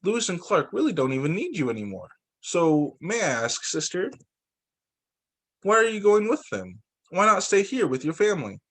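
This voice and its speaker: A man reading the part of an earnest, concerned Shoshone chief and brother in a picture book, with measured questioning. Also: sits high, sing-song, fairly bright tone, heavily acted.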